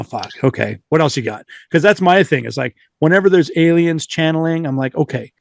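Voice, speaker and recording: A man's voice: mid-range voice, gravelly, a little mellow, no echo, studio quality. A man chatting informally as a podcast host, retelling and reading out accounts, often wandering into asides, with some light swearing.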